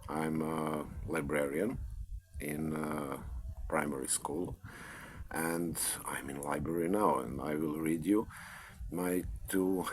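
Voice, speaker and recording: A man with a Serbian accent introduces his poems haltingly, with plenty of filler sounds, then settles into a steady reading. His voice sits very low. He is a school librarian speaking from inside a library. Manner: hesitant with many uh fillers, then steady recitation.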